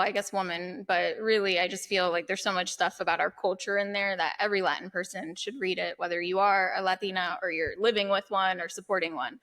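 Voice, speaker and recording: Woman author guest, bright forceful tone, open and frank. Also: medium pitch. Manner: candid, laughs easily. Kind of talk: casual interview chat.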